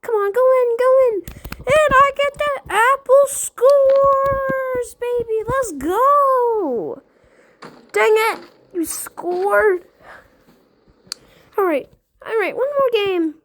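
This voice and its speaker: A performer voicing excitable, bickering schoolkids at play in improvised dialogue, with casual, teasing slang and exclamations. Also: extremely high pitch, very slow, long pauses, noisy background, exaggerated voice. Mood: eager, competitive. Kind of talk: playful improvised character dialogue.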